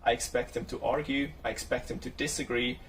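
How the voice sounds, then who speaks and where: A man's voice: mid-range voice, fairly even intonation, fairly quick, fairly bright tone. A man who served on a world scout committee, giving a firm, earnest recorded video message. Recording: distant mic with faint background noise.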